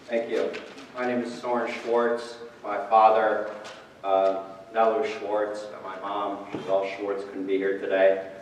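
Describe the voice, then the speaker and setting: Low-voiced man in a reverberant hall. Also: little pitch movement, unhurried, slight background noise. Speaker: family friend reading his father's tribute. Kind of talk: memorial speech read aloud. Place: large reverberant hall at a memorial service. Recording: muffled, echoey hall, distant mic.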